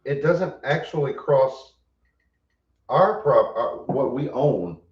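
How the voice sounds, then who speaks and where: A man's voice: mid-range voice, takes it slowly, far from the mic, background noise. A man co-hosting a homestead livestream, casual and teasing. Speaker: homestead channel co-host. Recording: roomy, dull livestream audio.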